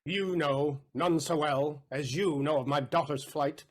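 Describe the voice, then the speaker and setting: An actor playing a bitter, vengeful moneylender and betrayed father in a Shakespearean audio play, with a husky voice and theatrical, emphatic delivery. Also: on the high side, unhurried. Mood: bitter outrage. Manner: theatrical, emphatic, swinging between hushed and loud.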